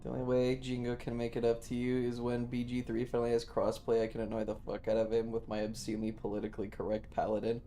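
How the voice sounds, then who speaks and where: A man's voice: mid-range voice, monotone, fast, hardly any pauses, dark tone, a little hiss or hum. A man live streaming a game, chatting casually in a rambling, sweary way. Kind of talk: casual gaming stream chat. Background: game music.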